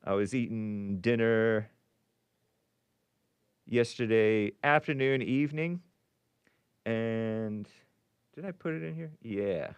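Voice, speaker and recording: A man's voice: lowish voice, slow pace, long pauses, clear, resonant tone, no room sound. A man hosting a call-in talk show, rambling through the news with opinions and frequent restarts. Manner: rambling, opinionated, self-interrupting. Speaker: host. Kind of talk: casual talk radio monologue.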